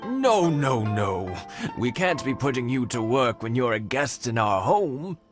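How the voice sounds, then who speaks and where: A courteous old village husband. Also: male voice, medium pitch, sing-song, measured pace. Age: older. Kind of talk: video game voice acting. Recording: game soundtrack music behind.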